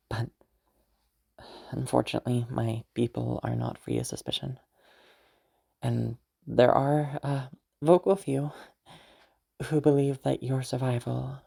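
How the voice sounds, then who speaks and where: A woman playing an affectionate, teasing young noblewoman in a roleplay audio drama, speaking haltingly and intimately to her knight. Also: very deep voice, gravelly, breathy edge, exaggerated voice. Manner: hesitant, halting, with soft chuckles and sighs.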